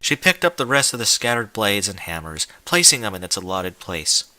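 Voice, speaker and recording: A man's voice: fairly low pitch, sing-song, normal speed, sharp tone, no room sound. A man reading a scripted story aloud as a dramatic narrator, with an emphatic, theatrical delivery. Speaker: fan fiction narrator.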